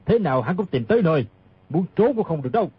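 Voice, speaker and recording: Brash, fearless, mocking martial hero for radio drama; very fast, rough husky tone. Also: male voice, high voice, sing-song, no room sound, phone-quality sound, exaggerated voice. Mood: argumentative. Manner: mocking, argumentative. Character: brash argumentative swordsman, sarcastic and fearless. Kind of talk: dramatised audiobook reading.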